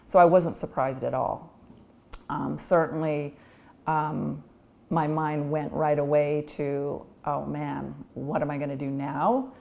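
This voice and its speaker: Casting note: woman, forties, low warm voice, candid and reflective, documentary interview. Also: flat delivery, slow, creaky. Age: 40s. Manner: candid, reflective, with frequent ums.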